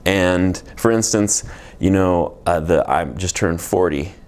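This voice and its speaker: A man's voice: low voice, takes it slowly, darkish tone, smooth. A man in his forties, an energy healer, giving a reflective, meandering spiritual talk with many fillers and restarts. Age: 40s. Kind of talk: unscripted spiritual teaching monologue.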